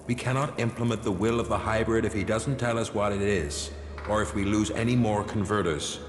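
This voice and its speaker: An actor playing a blunt, frustrated senior officer in a video game, speaking firmly. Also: male voice, lowish voice, fairly quick, lightly acted. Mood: impatient. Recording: echoey hall reverb, clear background noise.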